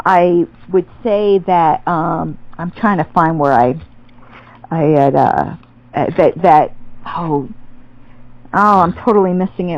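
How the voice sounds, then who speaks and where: A woman with a fairly low voice, thinking aloud as she answers and often stopping to restart a sentence. The sound is dry and close, with a slightly muffled, lo-fi tone. She talks in a casual podcast-guest manner. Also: a little mellow, no room sound. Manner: thoughtful, many restarts and fillers, laughs easily. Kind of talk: casual theological interview.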